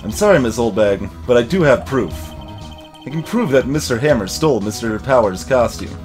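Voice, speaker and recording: A man voicing an earnest, persistent young male defense lawyer, reading video game dialogue in a measured, insistent way. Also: medium pitch, expressive, a little mellow, soft background music, voice pushed down, close to an everyday voice. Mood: earnest, pressing.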